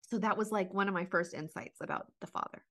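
A woman talking in a spiritual podcast chat, energetic and blunt, swearing casually as she explains her ideas. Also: medium pitch, fast talker.

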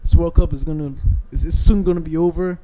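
A man's voice: fairly high pitch, fairly even intonation, fast, dark tone. A young man chatting casually as a podcast guest, hesitant and often repeating his phrases. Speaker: podcast guest, aspiring soccer player. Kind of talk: casual chat.

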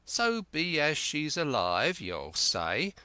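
A man reading an audiobook and voicing a rambling, excitable old castaway who speaks in rustic dialect. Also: on the high side, slow pace, clean tone, dry recording, voice pushed up, full character voice. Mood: confiding. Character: wild-eyed old male castaway, rambling and eager.

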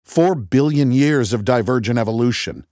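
A man's voice: mid-range voice, moderate pace, darkish tone, no room sound, studio quality, pitched above the performer's own voice, close to an everyday voice. Man playing a prickly, combative scientist. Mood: heated. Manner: heated, sarcastic.

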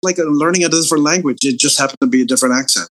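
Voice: medium pitch, very fast, fairly bright tone. Rambling comedian guest from the Philippines. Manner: rambling, restarts often, laughs at own jokes. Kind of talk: casual interview chat.

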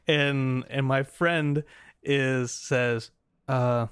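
A man's voice: medium pitch, steady tone, extremely slow, a bit bright, smooth, no room sound, clean studio sound. American man telling a rambling story. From United States. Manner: rambling, laughing, many restarts. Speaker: podcast co-host. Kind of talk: casual storytelling chat.

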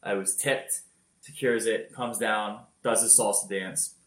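A man telling football highlights in a casual podcast chat, energetic and loud-voiced. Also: a little slow, echoey room. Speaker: podcast co-host. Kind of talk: casual sports recap.

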